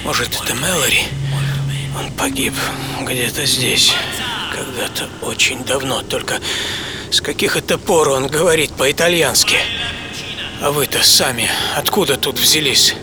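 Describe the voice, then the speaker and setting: A man playing an exhausted, wryly philosophical mountaineer in a radio monologue, halting and breathy, drifting between whisper and voice. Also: medium pitch, lightly acted. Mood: weary, dazed, then confused. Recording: music under the voice. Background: music.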